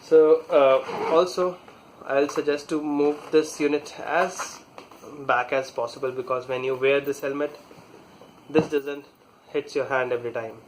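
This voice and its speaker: Halting man giving a slow hands-on tutorial. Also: mid-range voice, fairly even intonation, slight background noise, rough recording. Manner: halting, pausing mid-sentence while working. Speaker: product reviewer demonstrating installation. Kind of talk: casual hands-on tutorial talk.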